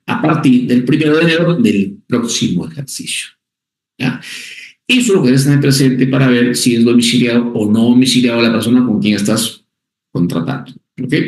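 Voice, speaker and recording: A man's voice: mid-range voice, darkish tone. A man teaching a tax class, repeating key points and checking in with short questions. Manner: repetitive, checks in with "¿ok?" and "¿ya?"; stresses key words by repeating them. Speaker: tax instructor. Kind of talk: recorded class lecture. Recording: echoey room.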